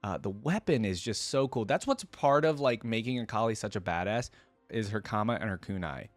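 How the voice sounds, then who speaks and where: Male reaction host, lowish voice, slangy off-the-cuff enthusiasm, dry close-miked sound. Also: animated, fairly quick, no echo, faint music behind. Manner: informal, slangy, rambling, enthusiastic. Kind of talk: casual unscripted reaction commentary.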